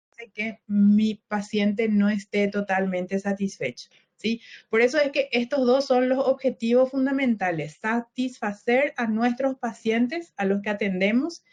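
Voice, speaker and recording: A middle-aged woman, a dentist turned health business consultant, presenting a webinar in a slow, measured way and often checking in with the audience. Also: on the high side, dull audio. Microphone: online webinar, computer mic.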